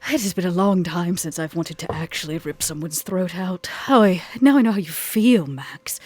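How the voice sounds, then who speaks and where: A woman's voice: on the low side, normal speed, a little mellow, dry recording. A woman playing in a tabletop role-playing podcast, speaking in and out of character with a dry, sardonic manner.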